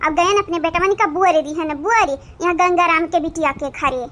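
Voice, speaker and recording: An actor with an Awadhi accent voicing a chattering, dramatic village woman in a comic cartoon, in a childlike squeaky voice. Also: steady tone, brisk, a bit bright. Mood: animated banter.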